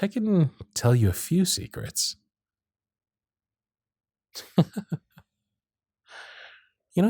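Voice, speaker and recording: Man playing a smitten, soft-spoken circus magician, husky voice. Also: mid-range voice, dry recording, studio quality. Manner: soft-spoken, flattering, tender, with hushed asides. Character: smitten male circus magician, charming, gentle, privately anxious. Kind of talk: ASMR romance roleplay with intimate inner monologue.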